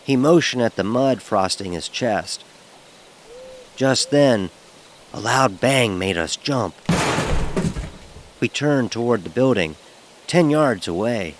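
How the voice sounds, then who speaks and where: An actor playing a nervous young fraternity pledge, narrating his own horror story with measured suspense. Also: medium pitch, expressive, background noise. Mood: tense, suspenseful. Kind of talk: dramatic first-person horror narration.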